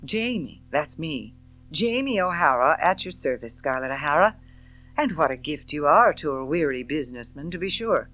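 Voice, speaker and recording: A woman voicing a genial middle-aged male shopkeeper in an audiobook novel, effusive, chatty and full of flowery flattery. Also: low-pitched, normal speed, soft background music, rough recording. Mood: delighted, charming.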